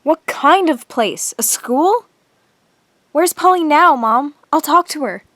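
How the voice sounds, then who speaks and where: A woman's voice: high voice, expressive, takes it slowly, a bit bright, dry recording, clean studio sound, exaggerated voice. An actress playing an earnest, guarded teenage girl who confides in her diary and bristles when challenged, in a radio drama. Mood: anxious. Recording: music under the dialogue.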